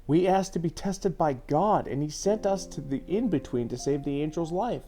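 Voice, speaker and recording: A man's voice: a little high, lively intonation, normal speed, warm tone, pitched above the performer's own voice, close to an everyday voice. Man playing an earnest, troubled teenage boy. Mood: earnest, pleading. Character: troubled, earnest teenage son, pleading to be believed.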